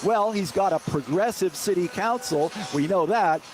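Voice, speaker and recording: A man reporting live on election night, explaining his points in an emphatic, measured way over background noise. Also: sits high, over music. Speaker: TV news reporter.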